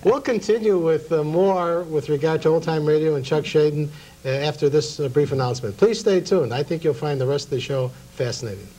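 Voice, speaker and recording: A middle-aged American man hosting a TV interview in a conversational, halting and deliberate way. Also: a little high, mellow. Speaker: talk show host. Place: small TV studio.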